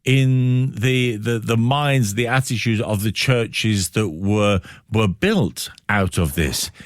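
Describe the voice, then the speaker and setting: A man hosting a thoughtful radio interview, setting out questions in measured, reflective phrases. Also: lowish voice, no echo, clean studio sound.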